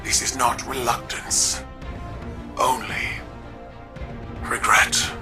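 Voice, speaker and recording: A man voicing a formal, battle-weary alien ruler in a video game, speaking in solemn, measured sentences. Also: medium pitch, level tone, music in the background, lightly acted. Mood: solemn regret.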